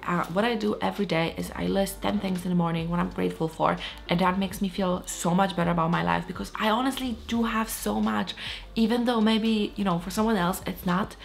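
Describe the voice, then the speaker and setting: A woman's voice: mid-range voice, steady tone, a little fast, creaky. A woman in her thirties from Poland, giving frank, blunt motivational talk straight to camera. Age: 30s. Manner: frank, blunt, swears casually. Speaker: lifestyle vlogger.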